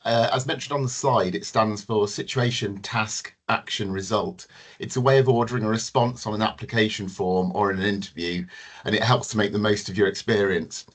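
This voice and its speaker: Guy w a lowish voice walking through job application tips, calm n methodical, some ums. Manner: measured, methodical advice with frequent ums. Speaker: team manager. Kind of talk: presenting in a webinar.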